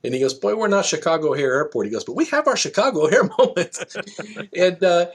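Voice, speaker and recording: A man's voice: sits high, sing-song, quick pace, slightly rough. A middle-aged man speaking as an interview guest, explaining things conversationally through examples and anecdotes. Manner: explanatory, illustrates with examples and quotes. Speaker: guest, air traffic control manager.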